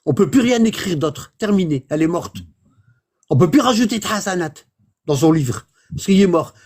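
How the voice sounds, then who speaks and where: Homme à la voix haute et voilée qui enseigne. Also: male voice, sits high, animated, moderate pace, darkish tone. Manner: explains patiently, repeats words and checks understanding. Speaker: religious instructor. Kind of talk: informal religious teaching.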